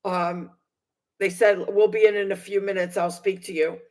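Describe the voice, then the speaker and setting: A woman's voice: mid-range voice, fairly even intonation, normal speed, a little mellow. A woman in her sixties giving personal testimony to viewers in an aggrieved, emphatic manner, repeating phrases for stress. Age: 60s. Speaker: vlogger recounting personal experience.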